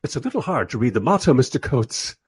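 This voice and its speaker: An actor playing a polite, squeamish documentary interviewer who narrates in a hesitant, wry manner in a radio play. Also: male voice, mid-range voice, sing-song, quick pace, breathy edge, no echo. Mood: polite, flustered.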